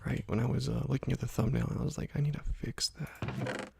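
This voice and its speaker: A young man softly whispering slow, relaxed commentary while playing a video game, close to a desk microphone. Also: medium pitch, vocal fry, slightly rough, no room sound. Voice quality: soft whispering, often unvoiced. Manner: slow, relaxed rambling between quiet pauses. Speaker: let's play host. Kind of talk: ASMR gameplay commentary. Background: faint keyboard and mouse clicks.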